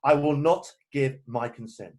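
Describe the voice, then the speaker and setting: A man playing a proper, earnest gentleman guardian in an amateur play reading, formal and stiffly indignant. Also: medium pitch, measured pace, a little hiss or hum, close to an everyday voice. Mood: firm, formal. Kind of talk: amateur play read-through.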